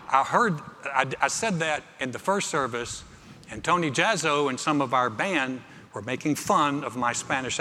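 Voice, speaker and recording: A middle-aged male pastor preaches in a large, reverberant church auditorium. His voice is fairly high and forceful with a bright edge. He tells personal stories conversationally and drives points home by repeating phrases. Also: a little hiss or hum. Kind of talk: sermon with personal anecdotes. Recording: reverberant hall, amplified preaching.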